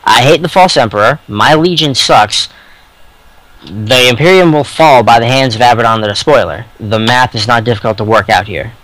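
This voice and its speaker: A man co-hosting a casual fan discussion of sci-fi novels, speaking bluntly and haltingly with crude turns of phrase. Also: medium pitch, a bit bright, no room sound, poor audio. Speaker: podcast co-host.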